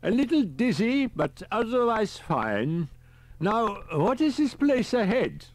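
A man voicing an excitable, precise male professor in an audiobook, speaking formally and deliberately. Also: sits high, no echo, pitched above the performer's own voice, exaggerated voice. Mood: intent.

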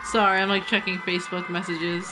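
A woman's voice: on the low side, flat delivery, brisk, rough recording. A young woman with a New York accent, chatting brashly and swearing while streaming a fighting game live. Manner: brash, profane trash talk. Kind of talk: casual live gameplay chat. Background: loud game music.

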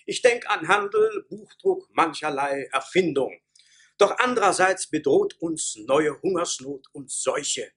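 High, husky fairy-tale emperor. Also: male voice, animated, unhurried, fairly bright tone, full character voice. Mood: ceremonious, concerned. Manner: stately, formal, fussy. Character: ceremonious, worried emperor of a fairy-tale realm. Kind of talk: theatrical radio play acting.

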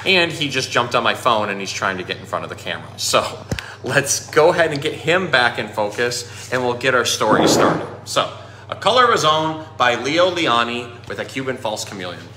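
A man's voice: mid-range voice, expressive, normal speed. A man in his thirties talking conversationally, explaining and presenting in a casual educational storytime style. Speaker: zookeeper and pet store presenter. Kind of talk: casual educational animal talk and picture-book storytime. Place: small indoor room with painted aquarium backdrop. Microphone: phone at arm's length. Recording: echoey room, faint background noise.

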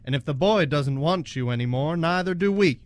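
A man's voice: a little high, lively intonation, moderate pace, natural voice. A man acting a bullying, mocking toy cowboy who talks in folksy cowboy phrases in a student radio play. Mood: menacing. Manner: taunting, folksy cowboy talk. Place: college radio studio, live broadcast.

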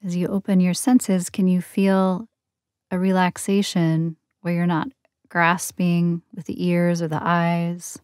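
A woman leading a guided meditation in a soothing, gentle, unhurried manner with long pauses between phrases. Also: fairly low pitch, monotone, clean tone, dry recording, studio quality. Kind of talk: guided yoga nidra meditation.

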